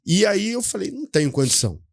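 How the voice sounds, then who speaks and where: Brazilian pastor hosting a podcast. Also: male voice, sits high, big pitch swings, vocal fry, dry recording, clean studio sound. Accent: Brazilian Portuguese. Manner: measured, conversational retelling with fillers. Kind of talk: casual interview conversation.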